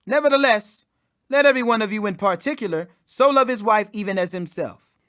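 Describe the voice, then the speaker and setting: A man reading the Bible aloud as a scripture reader, in emphatic, declamatory, measured phrases. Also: high voice, a bit bright. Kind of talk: audio Bible reading, King James English.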